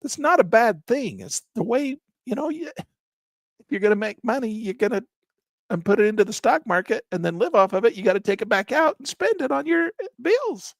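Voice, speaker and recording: An older man teaching options trading in a live session, animated and exasperated, breaking into mocking high voices and low grumbles. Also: fairly quick, creaky, no room sound, studio quality. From Southern Utah. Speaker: trading educator. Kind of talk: live-stream trading lesson, off-the-cuff rant.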